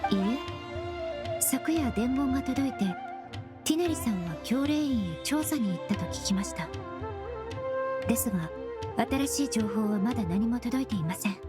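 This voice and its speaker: A woman playing a composed young female investigator in an anime game, speaking with gentle, formal, measured politeness. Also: on the high side, expressive, loud music behind the voice, natural voice. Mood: calm, courteous.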